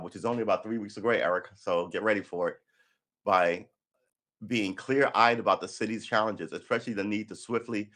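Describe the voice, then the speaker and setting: Low-voiced, sarcastic New man. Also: fairly bright tone. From New York City. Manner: sarcastic, profane, heated asides. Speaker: live stream host. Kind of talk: reading an article aloud with running commentary. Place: indoor room by a window.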